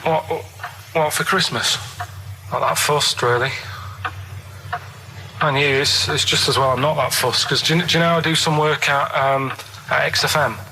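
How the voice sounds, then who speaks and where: A man's voice: a little high, fairly even intonation, normal speed, sharp tone, a little hiss or hum, rough recording. A man chatting casually as a radio co-host, downbeat, grumpy and matter-of-fact.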